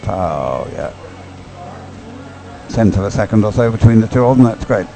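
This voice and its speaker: A man's voice: lowish voice, animated, normal speed, gravelly. A man giving unscripted live sports commentary in a hesitant, rambling, stop-start way.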